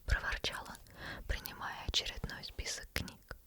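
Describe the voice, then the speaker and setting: A woman whispering as a sly demon storyteller. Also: natural voice. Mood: hushed. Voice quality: soft unvoiced whisper throughout. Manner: slow, teasing, intimate address to the listener. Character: teasing female demon storyteller, sly and soothing. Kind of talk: whispered ASMR bedtime story. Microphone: close sensitive ASMR microphone.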